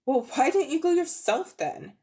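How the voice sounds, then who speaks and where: Storybook nephew: young lad, blunt, outraged, higher-pitched female narrator in the role. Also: animated, moderate pace, mellow. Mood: indignant. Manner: blunt, accusing. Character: blunt, indignant young boy, nephew of the magician.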